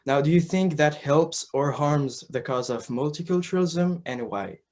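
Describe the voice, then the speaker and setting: A man's voice: on the high side, normal speed, a little mellow. Young man politely hosting a student interview. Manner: polite, slightly hesitant with fillers. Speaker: student co-host. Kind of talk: scripted interview questions.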